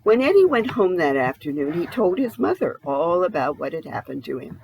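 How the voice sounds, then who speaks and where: A woman's voice: fairly low pitch, sing-song, moderate pace, vocal fry, a little mellow, a little hiss or hum, rough recording. A woman narrating a children's storybook expressively, with a dramatic read-aloud delivery. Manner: dramatic, asks the listener questions. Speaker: storybook reader.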